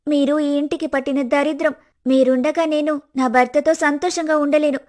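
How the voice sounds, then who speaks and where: A woman's voice: high-pitched, fairly even intonation, moderate pace, a little mellow, natural voice. A woman voicing a spiteful scheming young wife. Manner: whiny, spiteful.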